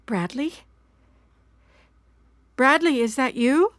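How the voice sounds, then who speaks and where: A woman's voice: sits high, expressive, takes it slowly, a bit bright, no echo, exaggerated voice. A middle-aged woman playing an anxious, apologetic mother who rambles and frets in a naturalistic audio drama. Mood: anxious, relieved. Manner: rambling, fretful, self-blaming.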